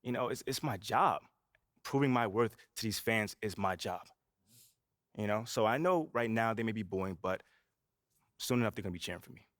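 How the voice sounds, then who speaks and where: A man's voice: fairly low pitch, lively intonation, moderate pace, long pauses, fairly bright tone, no echo, studio quality. An actor playing a confident young male basketball rookie in a game cutscene, laid-back and brash, constantly saying "you know". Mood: composed. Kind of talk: video game cutscene voice acting.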